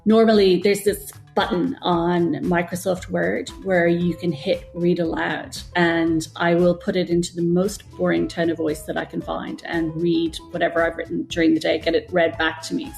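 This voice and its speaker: A woman with a fairly low, noticeably creaky voice speaks as an interview guest. She explains her working life conversationally and reflectively, with faint music behind her. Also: flat delivery, normal speed, a little mellow. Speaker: interview guest, novelist and screenwriter.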